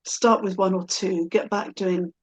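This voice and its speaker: A middle-aged woman talking casually and reflectively, thoughtful and a little hesitant, often pausing to find her words. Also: medium pitch, fairly even intonation. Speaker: guest in a personal conversation.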